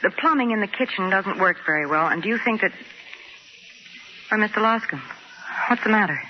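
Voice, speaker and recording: An actress playing a spirited young woman sleuthing companion, expressive and theatrical in 1940s radio drama style. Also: medium pitch, fairly quick, lightly acted. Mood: polite, indignant. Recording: noisy, muffled 1940 radio broadcast.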